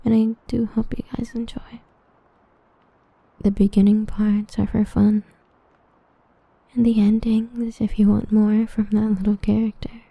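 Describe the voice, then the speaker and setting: A woman chatting softly and breathily to listeners as an ASMR audio creator. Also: medium pitch, no echo, phone-quality sound. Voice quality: soft, breathy. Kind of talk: soft ASMR-style outro chat to listeners.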